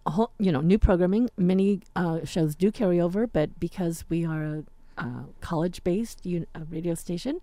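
A woman's voice: fairly low pitch, a little fast, few pauses, warm tone, no room sound. An American woman hosting a radio show, chatting casually and informally. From United States. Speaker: radio host. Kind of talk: casual interview chat. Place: radio studio.

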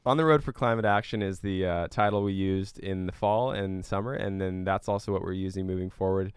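Male interview guest, lowish smooth voice, earnest explainer, conversational, dry recording. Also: a little fast, no room sound. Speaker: interview guest, climate activist and scientist.

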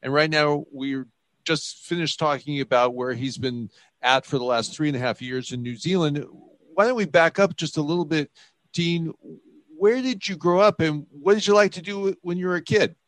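A man's voice: a little high, normal speed, a bit bright, no room sound. A man hosting a conversational radio interview.

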